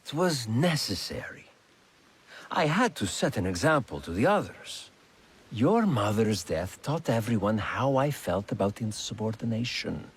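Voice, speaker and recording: A man's voice: fairly low pitch, very animated, unhurried, husky, full character voice. A man voicing an arrogant, ruthless slaver villain in a video game, pompous and taunting in manner. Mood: smug menace. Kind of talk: dramatic video game acting.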